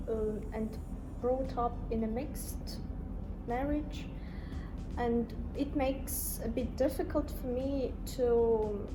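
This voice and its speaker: High-voiced young woman, careful and measured. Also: background noise. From Kabardino-Balkar Republic, Russia. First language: Russian. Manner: thoughtful, careful, with many pauses and fillers. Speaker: interview guest. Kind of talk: casual interview answers.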